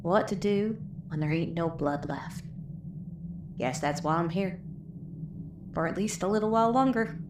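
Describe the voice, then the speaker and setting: Woman acting weary low-voiced country survivor, roomy sound. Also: a little slow, long pauses, natural voice. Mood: weary, reflective. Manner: folksy, weary, reflective drawl. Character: weary, plain-spoken dying country woman, post-apocalyptic survivor. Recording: roomy, with clear background noise.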